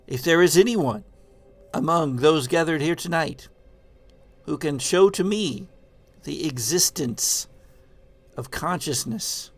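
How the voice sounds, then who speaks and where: Man doing a slow, grandiose horror-movie host. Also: fairly high pitch, faint music behind. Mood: grand, ominous. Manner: slow, portentous, halting pauses. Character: theatrical spooky male hologram host, grave and grandiose. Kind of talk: old horror-movie monologue in a tabletop game.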